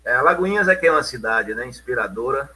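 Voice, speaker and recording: Bright, husky male host. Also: mid-range voice, animated, quick pace, poor audio. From Bahia, Brazil. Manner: conversational, leading questions with frequent "né". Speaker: interview host. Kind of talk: interview questions.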